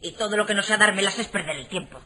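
A woman's voice: mid-range voice, quick pace, pushed and bright, close to an everyday voice. A woman playing a demanding, insistent woman who wants money, in a radio comedy. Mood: firm, insistent. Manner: insistent, matter-of-fact. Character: brisk, demanding woman wanting money from her man.

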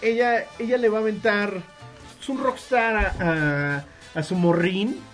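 A man's voice: sits high, unhurried, fairly bright tone, faint music behind. A man with a Mexican accent co-hosting a podcast in a mock-solemn, theatrical way. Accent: Mexican Spanish. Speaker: podcast co-host.

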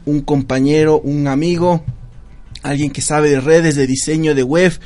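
A man hosting a radio show, greeting listeners at length with enthusiasm. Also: fairly high pitch.

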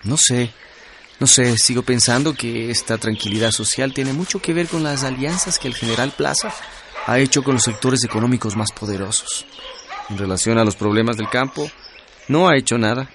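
An actor playing an earnest, idealistic young student in a radio drama, speaking reflectively. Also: male voice, mid-range voice, normal speed, noisy background, lightly acted. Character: earnest, idealistic young male student recounting revolutionary times. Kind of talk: radio drama narration and dialogue. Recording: loud music under the voice.

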